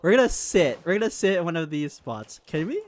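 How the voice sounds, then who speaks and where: A man chatting casually on a gaming livestream in an informal, excitable way, often repeating his phrases. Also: fairly high pitch, brisk, background noise. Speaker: game streamer.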